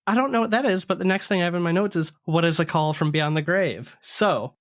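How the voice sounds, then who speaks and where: A man's voice: high-pitched, brisk. Conversational man hosting a spooky podcast. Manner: conversational, ad-libbing. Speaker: podcast co-host. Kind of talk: reading stories and chatting on a spooky podcast.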